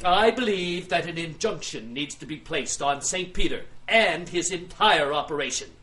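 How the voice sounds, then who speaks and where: A man's voice: a little high, animated, normal speed, pushed and bright. An actor playing a pompous, aggrieved industrialist who pleads his own case with theatrical, grandiloquent delivery in an audio drama. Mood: grandiose, pleading.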